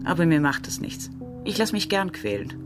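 A cool, blunt woman under questioning in a radio crime play. Her voice sits low and her statements come clipped, with prominent music underneath. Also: big pitch swings, normal speed. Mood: cool, disdainful. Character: cool, blunt female witness questioned about colleagues. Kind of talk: radio crime drama acting.

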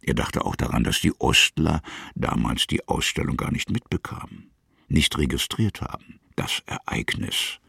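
A man narrating a radio drama in a gravelly, husky voice, musing in short phrases. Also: a bass voice, animated, normal speed, pushed and bright, no room sound, clean studio sound, full character voice.

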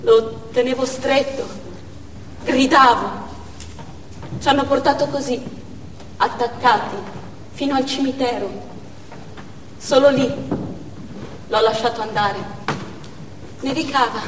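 An actress playing a grieving young Chechen war widow in a stage monologue, speaking in stark, halting short phrases. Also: female voice, sits high, far from the mic. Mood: numb grief. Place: theatre stage, distant microphone. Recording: echoey theatre hall from afar, noisy.

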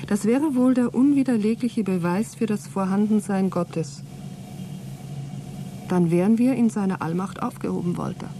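A woman's voice: medium pitch, moderate pace, background noise, lightly acted. A woman acting an earnest, hopeful astronaut in a German radio play, speaking in an articulate, reflective way. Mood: awed.

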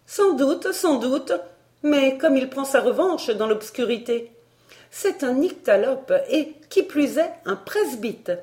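Woman playing a shrill, indignant governess. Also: animated, unhurried, darkish tone. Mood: angry, mocking. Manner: indignant, theatrical, scolding. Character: sour, indignant, paranoid governess with bulging eyes.

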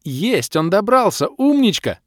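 Man putting on a gleeful high-pitched mischievous sorceress. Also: unhurried, no echo, clean studio sound. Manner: excited, playful.

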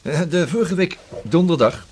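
An actor playing a polite, persistent police inspector in a radio play, speaking formal, measured Netherlands Dutch. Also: male voice, on the high side, lively intonation, a little mellow, slight background noise, lightly acted. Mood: polite, probing. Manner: formal, courteous, measured and insistent.

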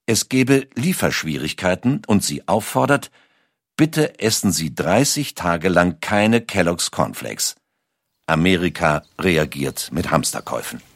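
A man's voice: low voice, big pitch swings, unhurried, dry recording, studio quality. A man reading scripted documentary narration as a narrator.

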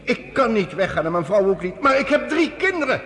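A man's voice: sits high, sing-song, fairly quick, a bit bright, full character voice. An actor playing an anguished middle-aged professor, a father of three, pleading in a radio play. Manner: pleading, desperate, voice breaking upward. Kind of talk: radio drama acting.

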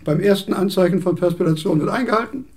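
An actor playing a precise, dry old philosopher in a radio play, pedantic and formal in manner. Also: male voice, high-pitched, fast talker, darkish tone, dry recording. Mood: dryly formal.

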